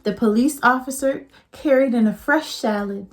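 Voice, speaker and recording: A woman teacher reading a picture book aloud to children, slow and expressive. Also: on the high side, soft background music.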